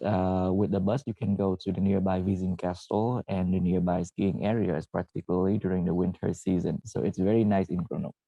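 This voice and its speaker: Low gravelly man, halting. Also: dark tone, dry recording, rough recording. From Vietnam. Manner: halting, frequent "uh" and self-corrections. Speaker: former PhD student presenting. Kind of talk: presentation to students.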